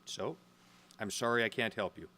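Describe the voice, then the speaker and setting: An actor playing a courteous, condescending gemstone dealer in an audio drama, speaking formally. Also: male voice, on the low side, normal speed, sharp tone. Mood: smooth, dismissive. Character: polite, shrewd male gemstone auction dealer.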